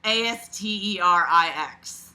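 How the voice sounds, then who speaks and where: A woman with a very bright, sharp-edged voice at a middling pitch reads aloud in a casual, expressive way. She drops in sarcastic, informal asides and swears freely as she mocks the text. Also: unhurried, rough recording. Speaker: host reading a book aloud with commentary. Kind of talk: casual mocking read-aloud with off-the-cuff asides.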